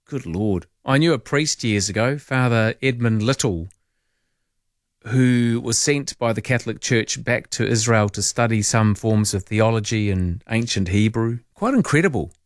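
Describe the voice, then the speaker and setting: A man with a New Zealand accent hosting late-night talkback radio in a chatty, jokey way, full of quick asides. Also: medium pitch, no echo, studio quality. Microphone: studio microphone.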